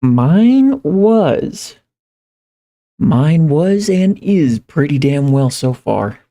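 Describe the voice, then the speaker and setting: Guy streaming casually, creaky relaxed voice, rambles and repeats himself. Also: on the high side. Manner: rambling, halting, repeats phrases as he thinks aloud. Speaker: live streamer. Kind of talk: casual livestream chat, reading viewer comments.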